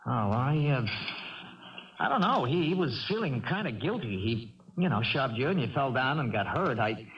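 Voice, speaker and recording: A man's voice: medium pitch, sing-song, normal speed, close to an everyday voice. An actor playing an earnest, kindly farm boy, eager and hesitant, in a 1970s radio drama. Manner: hesitant, stammering. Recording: dull, noisy, distant old radio broadcast.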